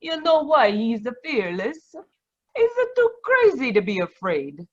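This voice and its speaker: An actress playing a bitter, proud, anguished Sicilian woman in a 1940s-style radio drama, halting and emotional, over a dull video call. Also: a little high, very animated, a little hiss or hum. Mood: bitter recounting.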